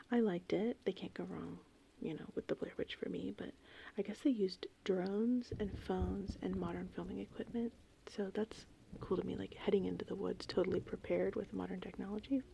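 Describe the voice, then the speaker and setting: A woman whispering in a casual, meandering, unhurried ASMR ramble, with a breathy, creaky voice. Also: medium pitch, a little hiss or hum, rough recording. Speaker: ASMR host. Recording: steady rain and thunderstorm ambience. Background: gentle rain and thunder.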